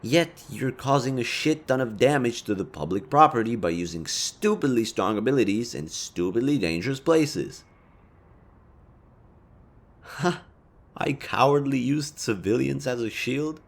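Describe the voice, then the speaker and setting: A man's voice: mid-range voice, animated, unhurried, gravelly. A man playing a smug, sardonic city supervillain with a caring streak, speaking to the listener in a casual, sweary audio roleplay. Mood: taunting, exasperated. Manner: taunting, casual, sweary, theatrical.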